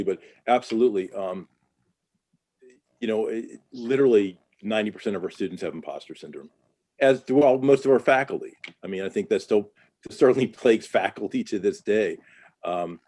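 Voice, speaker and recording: Man, American accent, panelist, low, mellow, candid, conversational. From United States. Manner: conversational, candid, with many fillers. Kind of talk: answering questions in a webinar.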